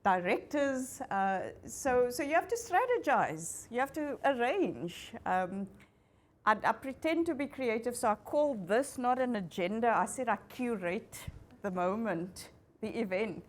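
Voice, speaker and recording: A woman moderating a fireside chat, lively and affirming with quick asides. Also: fairly high pitch. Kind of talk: conversational panel moderation.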